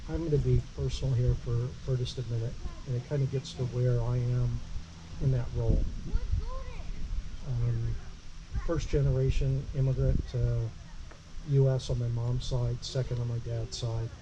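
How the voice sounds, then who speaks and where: Man, measured and thoughtful panel speaker, handheld mic, noisy outdoor meeting. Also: little pitch movement. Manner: says he has a low voice. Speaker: state parks district superintendent. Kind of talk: panel remarks at a public meeting. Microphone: handheld microphone.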